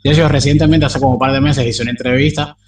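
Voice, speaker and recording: A man's voice: medium pitch, steady tone, fast. Young man, interview guest, rambling, fillers and restarts, distant mic. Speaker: polyglot interviewee. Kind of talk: casual interview chat.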